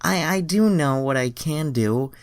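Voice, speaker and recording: A man voicing an earnest, naive young alien woman in falsetto, with stilted formal phrasing and a Southern US twang. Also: lively intonation, unhurried, no echo, studio quality. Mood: wistful, earnest.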